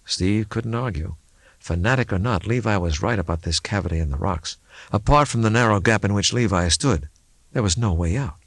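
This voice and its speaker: A man reading a suspense novel as an audiobook narrator, in a measured, dramatic way. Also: fairly low pitch, expressive, a bit bright, dry recording.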